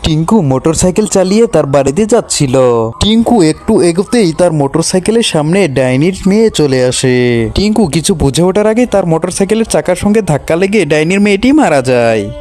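A man's voice: fairly high pitch, animated, brisk, darkish tone. A man narrating scripted children's cartoon stories. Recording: music behind the voice.

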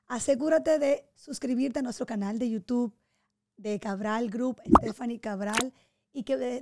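Expressive woman podcast host, higher voice. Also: heavy background noise. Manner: expressive, affirming, gestures while talking. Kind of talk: casual interview chat. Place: small home podcast studio. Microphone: studio mic on boom arm, headphones.

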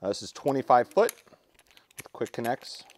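A man presenting a product unboxing in a relaxed, practical way, thinking aloud with fillers, recorded indoors in a large concrete-floored space. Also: mid-range voice, moderate pace, slight background noise. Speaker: tool store presenter. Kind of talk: casual product unboxing walkthrough.

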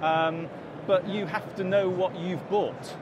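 A man in his forties talks through his business with plenty of ums and restarts, his voice sitting a little high for a man. Behind him a busy expo hall roars with loud noise. It sounds like a live stand interview. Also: steady tone, slow pace, highs cut off. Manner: explanatory, many fillers and restarts. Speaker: interview guest, company director. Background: crowd chatter and hall noise.

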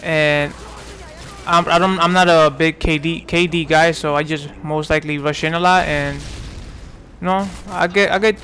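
A man's voice: on the high side, fairly even intonation, normal speed, a bit bright. A man in his twenties from New York giving casual, rambling commentary over gameplay, full of fillers and restarts. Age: 20s. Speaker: gaming commentator.

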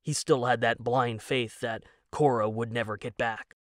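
Guy narrating a dramatic story, takes it slow n suspenseful, really dry recording. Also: medium pitch, steady tone, no echo. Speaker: audiobook narrator.

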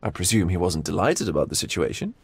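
An actor playing a languid, flamboyant art lover in a video game, speaking with arch theatrical drawl. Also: male voice, deep voice, very animated, quick pace, husky, dry recording, heavily acted. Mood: arch amusement. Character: droll, languid, flamboyant male art aesthete. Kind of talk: video game cutscene acting.

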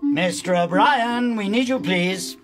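An actor playing a louche, flippant upper-class 1920s magistrate in a radio drama, breezy and playful. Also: male voice, sits high, slow, over loud music. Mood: playfully mocking.

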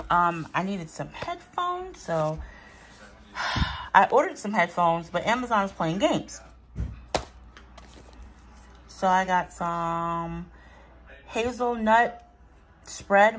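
A woman in her thirties chatting casually as she shows off her groceries at home, candid and unfiltered. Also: fairly low pitch. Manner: chatty, candid, talks as she handles items off camera. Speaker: vlogger showing a grocery haul. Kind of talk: casual chat. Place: home, indoors. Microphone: phone camera held by the speaker.

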